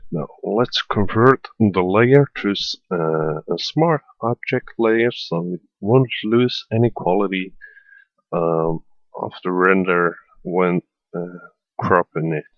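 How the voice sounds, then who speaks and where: Dutch man, tutorial voiceover, halting with fillers, unhurried, dead dry sound. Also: low-pitched, dry recording, lo-fi sound. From Netherlands. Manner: hesitant, many fillers. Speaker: tutorial maker. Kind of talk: screen-recorded software tutorial voiceover. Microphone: voiceover at a computer.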